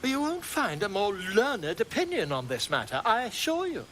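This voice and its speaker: A man's voice: sits high, wildly swooping pitch, moderate pace, a bit bright, slight background noise, full character voice. A man playing a pompous, overconfident bard and self-styled scholar in a video game, effusive and florid. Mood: cocksure. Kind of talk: video game character acting.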